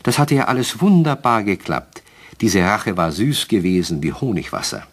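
A man's voice: lowish voice, very animated, moderate pace. A man narrating a German children's radio play as a scripted storyteller.

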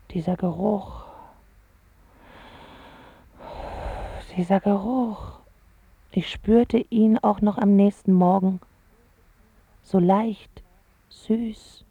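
A young woman playing a lovesick, sulky teenage girl from a wealthy family in a radio drama monologue, sardonic and husky, in clipped phrases. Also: mid-range voice, slow, long pauses, dry recording, narrow, tinny audio. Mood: brooding.

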